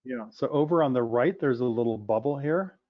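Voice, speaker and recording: A man co-hosts a question-and-answer call, calmly walking a caller through software step by step. His voice sits in a middle register. The audio is dull and lo-fi, with a dry sound and no room echo. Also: medium pitch, a little fast. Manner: practical, step-by-step explainer. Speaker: co-host. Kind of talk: explaining software in a Q&A.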